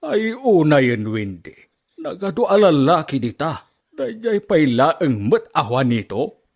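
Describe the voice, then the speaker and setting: A man's voice: on the high side, sing-song, a little slow, darkish tone, heavily acted. A man acting an earnest, serious family man in an Ilocano radio drama. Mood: disapproving. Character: men of a family drama: stern father, earnest suitor.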